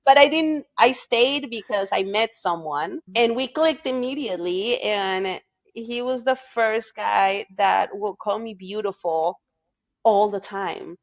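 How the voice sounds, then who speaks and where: A young woman from Peru with a Peruvian Spanish accent, chatting as a podcast guest and acting out quotes in a higher, excited voice. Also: slow, muffled recording. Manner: animated storyteller, acts out quotes in a higher voice, laughs often. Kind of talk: casual podcast conversation.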